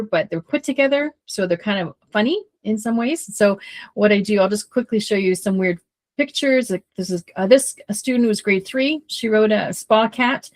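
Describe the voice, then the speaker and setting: A woman tutor on a podcast explaining a writing activity. She is losing her voice, nervous, and laughs often. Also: mid-range voice.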